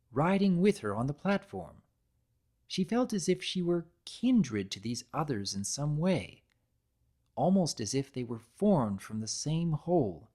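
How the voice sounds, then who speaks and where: Animated man narrating a story. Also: mid-range voice. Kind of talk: dramatic reading of fan fiction, scripted storybook narration.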